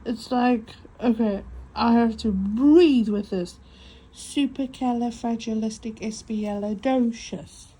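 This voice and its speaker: A woman's voice: on the high side, takes it slowly, mellow, breathy edge, poor audio. A woman with a stutter, chatting casually to camera while she draws and recorded on a phone. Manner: reflective, talks to herself while drawing. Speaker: tangle art vlogger.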